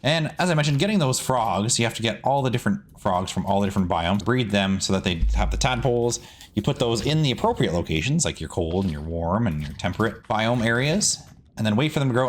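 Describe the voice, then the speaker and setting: A man's voice: on the low side, slight background noise. A man hosting a gaming video with casual build commentary, often repeating words for emphasis. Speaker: gaming video creator and host.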